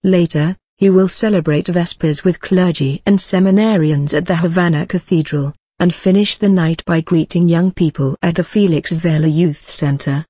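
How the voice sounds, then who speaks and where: A news reader voice of middle pitch, delivering a written article with steady, uniform pacing. The sound is dry and close, with no room around it. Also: normal speed, hardly any pauses, slightly rough, no echo. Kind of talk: reading a news article aloud.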